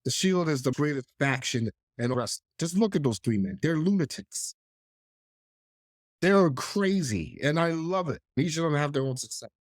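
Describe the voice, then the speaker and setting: A man's voice: on the high side, expressive, normal speed, no room sound, clean studio sound. A man with a cold and a nasal, stuffy voice, hosting a solo wrestling podcast with emphatic opinions. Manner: opinionated, emphatic, clears throat. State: congested, under the weather.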